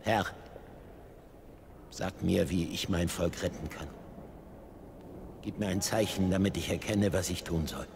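A man's voice: mid-range voice, lively intonation, moderate pace, creaky, a bit bright, long reverb tail, slight background noise. A man playing a troubled, commanding king in a dramatic radio play, imperious and urgent. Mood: pleading prayer.

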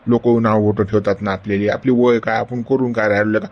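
A man's voice: on the low side, flat delivery, brisk, lo-fi sound, lightly acted. An actor playing an elderly male friend who coaxes and scolds in a Varhadi Marathi accent. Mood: coaxing. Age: older. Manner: coaxing, chiding with repeated "गड्या".

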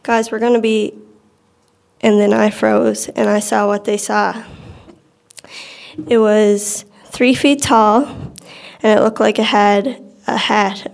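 Teenage girl, flat intonation, mid-pitched, plain-spoken, hesitant, casual personal storytelling. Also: smooth. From Bell Island, Newfoundland, Canada. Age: teenager, about 14 to 15.

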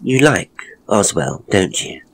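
A man voicing a frail, earnest young woman in a ghost story, hushed and halting. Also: deep voice, very animated, vocal fry, a little hiss or hum, exaggerated voice. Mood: gently pleading.

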